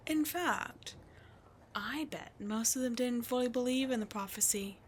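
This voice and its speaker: A woman's voice: a little high, measured pace, lightly acted. A woman voicing a scheming demon queen in an ASMR roleplay, sly and mocking, often slipping into whispers, with faint noise behind. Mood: taunting. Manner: sly, taunting, drifting into whispers. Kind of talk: ASMR roleplay audio drama.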